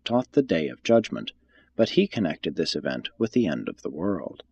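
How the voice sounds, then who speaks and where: A man reading a religious text aloud as scripted audiobook narration, in a measured, formal and solemn manner. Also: low voice, big pitch swings, gravelly, darkish tone, slightly rough, dull audio.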